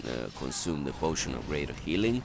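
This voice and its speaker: A man's voice: a bass voice, very animated, fairly quick, gravelly, noisy background. A man giving an unscripted strategy game walkthrough in a hesitant, step-by-step instructional manner. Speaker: gameplay guide narrator.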